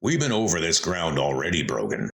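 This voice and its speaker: A man's voice: very deep voice, wildly swooping pitch, fairly quick, gravelly, a bit bright, pitched below the performer's own voice, full character voice. A man playing a terse, weary police detective in a comic mystery dub, with a brusque manner. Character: terse, weary male police detective on missing-person case.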